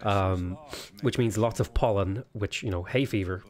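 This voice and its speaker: A man's voice: on the low side, normal speed, a little mellow. A man with a sniffly cold, casually commentating a strategy game while he thinks aloud, weighing choices with plenty of ums. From Ireland. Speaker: let's play host.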